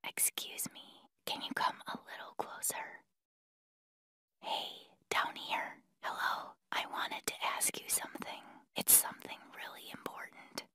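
Sneaky little whispering junk creature. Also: no echo. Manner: hushed, secretive, coaxing. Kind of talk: whispered video game character voice lines.

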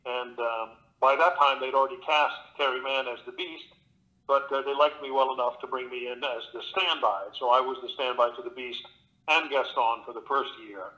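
A man with a big, bright, forward voice, chatting casually as an interview guest. He wanders through his stories, restarting sentences and filling gaps with "uh". His sound comes over a thin remote-call connection. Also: medium pitch, moderate pace, low-quality recording. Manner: relaxed storytelling with many fillers and restarts. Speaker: guest actor and audiobook narrator. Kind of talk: conversational interview.

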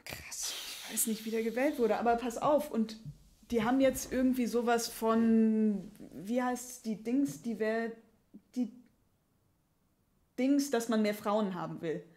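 A woman playing a casual female lumberjack in an improvised audio play, talking in halting, colloquial fragments. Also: on the high side, a little mellow, natural voice. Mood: hesitant, casual. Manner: halting colloquial chat, trailing off. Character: female lumberjack, casual, hesitant about a job offer. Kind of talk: improvised audio drama dialogue. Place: small dark curtained stage. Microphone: stand microphone.

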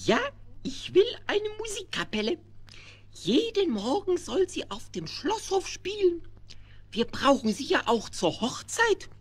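A childish creature king for a children's radio play. The voice is extremely high and drawn out, haughty and bossy, like spoiled royalty giving orders. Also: big pitch swings, unhurried, heavily acted. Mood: haughty, commanding. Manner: imperious, demanding.

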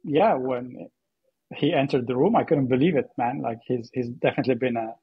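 A man from Syria speaking as a podcast guest in a conversational, halting way, repeating words as he thinks. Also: mid-range voice, slightly rough, dry recording, narrow, tinny audio. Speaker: podcast guest, AI startup co-founder. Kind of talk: conversational interview storytelling.

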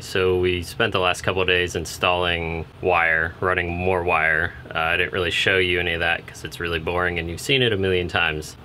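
A man's voice: low-pitched, sharp tone, a little hiss or hum. A man in his thirties hosting a DIY vlog, explaining his work casually. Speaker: vlog host.

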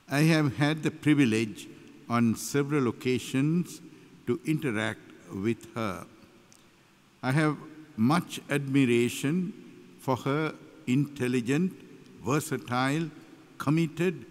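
Older sri lankan bishop guy, formal speech, slow, reverby church. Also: mid-range voice. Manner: deliberate, formal. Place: large church, at lectern. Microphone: gooseneck lectern microphone. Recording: echoey hall, long reverb.

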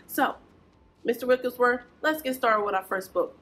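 A woman's voice: on the high side, normal speed, a bit bright, slight background noise. A young woman presenting a preschool storytime, speaking to small children in a singsong, encouraging way. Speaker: children's librarian and storytime host. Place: small indoor children's library corner.

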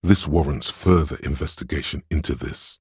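A man voicing a detective's coolly analytical inner voice of logic in a video game, gravelly and husky, with measured, deliberate delivery. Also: extremely low pitch, dry recording, clean studio sound, full character voice. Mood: reasoning. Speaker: game voice actor. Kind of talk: scripted video game narration.

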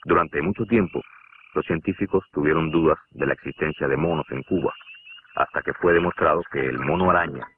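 Very deep Cuban man narrating. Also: slight background noise. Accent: Cuban Spanish. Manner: measured, explanatory. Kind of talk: scripted documentary narration. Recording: tinny lo-fi narration over music.

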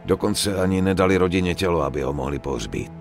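Young immigrant mobster, proud, grave, curt, game cutscene drama, music behind. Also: male voice, sits low. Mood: somber. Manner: serious, curt. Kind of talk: dubbed video game cutscene acting.

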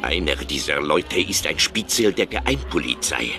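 Very deep, grave old priest. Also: male voice, lively intonation, vocal fry, pushed and bright, soft background music, heavily acted. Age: older. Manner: solemn, measured, formal. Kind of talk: scripted video game dialogue.

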